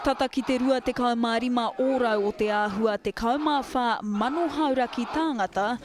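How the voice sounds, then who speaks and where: A woman's voice: fairly high pitch, fairly quick, noisy background. A woman news reporter reading scripted narration for a television report.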